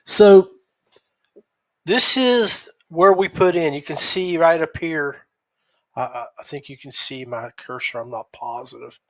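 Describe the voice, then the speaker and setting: A man hosting a podcast, giving a casual, unscripted recap in a halting, folksy, conversational way. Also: a little high, poor audio. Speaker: podcast host.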